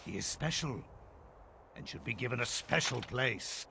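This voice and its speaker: An actor voicing an arrogant demon lord revealing his true form in a video game cutscene, in loud, booming proclamations. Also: expressive, a little slow, vocal fry, background noise. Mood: gloating.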